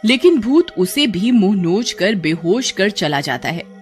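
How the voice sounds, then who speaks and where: A narrator telling a cartoon horror story in steady, scripted storytelling. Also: medium pitch, unhurried, over loud music.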